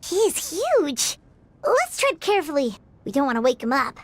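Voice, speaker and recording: A woman voicing a tiny, excitable fairy companion girl in a video game, chirpy and eager. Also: high-pitched, normal speed, a little hiss or hum. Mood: excited. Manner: excitable, chirpy, speaks of herself by name.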